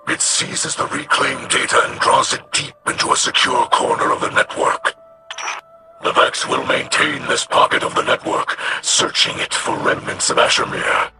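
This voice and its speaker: An actor playing a courteous, scholarly alien in a video game, speaking formally and haltingly in a breathy, whispery voice. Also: male voice, mid-range voice, close to an everyday voice. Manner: formal, halting, deliberate delivery. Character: eerie, courteous, scholarly alien male, solemn and curious. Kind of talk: scripted video game dialogue. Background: music.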